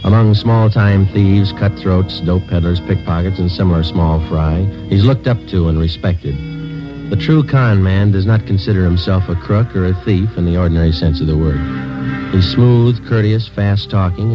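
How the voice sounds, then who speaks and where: Man acting a deep deadpan police sergeant, old radio. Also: moderate pace, close to an everyday voice. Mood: deadpan, somber. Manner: deadpan, matter-of-fact. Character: stoic male police sergeant, terse and businesslike. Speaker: lead actor and narrator. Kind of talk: 1950s radio drama acting, clipped narration. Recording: old muffled radio recording with music.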